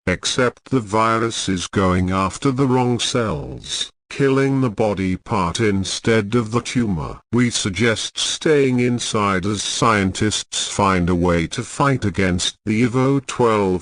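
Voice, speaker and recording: A man's voice: fairly low pitch, a bit bright, no room sound. A man reading a scripted emergency broadcast announcement in a formal, solemn manner.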